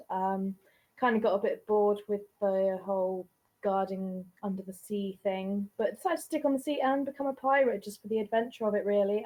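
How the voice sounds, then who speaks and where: A woman introduces her character in a relaxed, unhurried way. Her voice sits in the middle of the range. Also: medium pitch. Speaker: player.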